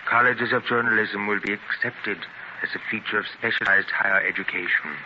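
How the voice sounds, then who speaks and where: A man acting in a 1930s radio drama as a proud, ailing, half-blind newspaper publisher, speaking forcefully and emphatically. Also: on the low side, steady tone, a little fast, muffled recording, lightly acted. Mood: earnest, idealistic. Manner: declamatory, emphatic. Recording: scratchy, noisy 1930s radio transcription.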